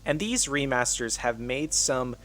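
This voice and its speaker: A man's voice: medium pitch, lively intonation, a little slow, a bit bright. A man reading a scripted video essay as the narrator of an online channel.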